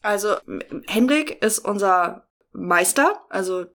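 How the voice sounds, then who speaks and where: A woman's voice: medium pitch, expressive, measured pace, a bit bright. A woman, chatting casually as a podcast guest and actress. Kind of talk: casual chat.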